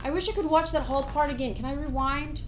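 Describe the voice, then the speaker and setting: A woman streaming a game playthrough, chatting casually and reading the text aloud in an expressive, enthusiastic way. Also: sits high, fast talker, slight background noise. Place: livestream setup.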